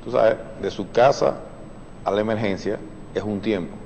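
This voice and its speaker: A man, a surgeon on a TV talk show, explaining patiently with many fillers and the odd clearing of his throat. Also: on the low side, normal speed, a little mellow, in a large hall, a little hiss or hum, rough recording. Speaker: surgeon guest. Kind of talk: explanatory TV interview.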